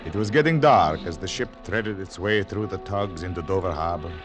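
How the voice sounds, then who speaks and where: An actor playing a somber first-person narrator of an espionage tale in an old radio drama, subdued and brooding. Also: male voice, low-pitched, moderate pace, close to an everyday voice. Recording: old radio broadcast, music underneath. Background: music.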